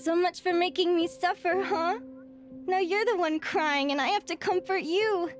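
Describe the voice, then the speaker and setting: A woman voicing a sweet, earnest young female adventurer in a video game, gentle and friendly. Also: very high voice, moderate pace. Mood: tender, relieved. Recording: game music behind the voice. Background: game music.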